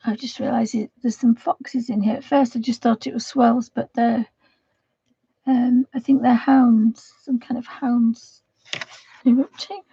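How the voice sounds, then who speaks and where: Croaky soft-spoken woman, dull lo-fi stream. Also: on the high side, steady tone, a little slow, no echo. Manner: soft, rambling, often half-whispered. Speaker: livestream host. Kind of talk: casual chat while colouring.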